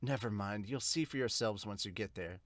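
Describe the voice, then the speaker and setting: A man acts out a stern young headmistress in a manga dub. The delivery is low, hushed and measured, with formal authority and a dry sound. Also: no echo, natural voice. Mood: grave.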